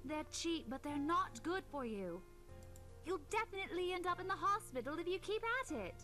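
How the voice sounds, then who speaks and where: A woman playing a caring, motherly young teacher who gently nags her friend in a visual novel, over music. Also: extremely high pitch, lively intonation, normal speed, heavily acted. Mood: scolding. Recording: faint music behind the voice.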